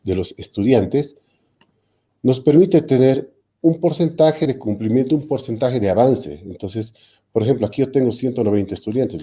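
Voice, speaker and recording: A man's voice: mid-range voice, dark tone. A man, a technical institute lecturer explaining online teaching in a panel talk, hesitating with frequent fillers and self-corrections over a video call.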